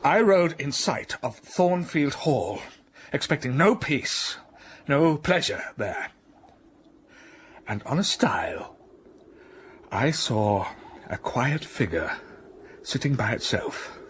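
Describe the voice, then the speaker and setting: A brooding gentleman in a radio drama, slow, measured, passionate bursts, mid-pitched voice. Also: lively intonation, slight background noise. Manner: slow, brooding storytelling with sudden rises of passion.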